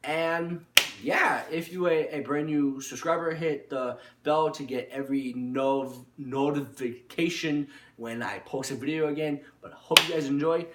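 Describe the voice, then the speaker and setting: A man's voice: a little high, fairly even intonation, measured pace, noisy background. A man telling a personal story informally to his viewers, rambling and insistent, often repeating himself and restarting phrases. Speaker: storytelling YouTuber. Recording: echoey room, distant microphone.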